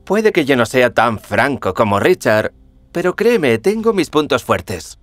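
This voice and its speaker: Young modest prince acted by a man, earnest, mid pitch, hugely animated, cartoon fairy tale. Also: unhurried, dry recording, soft background music. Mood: dejected. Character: young overlooked prince, good-natured and modest.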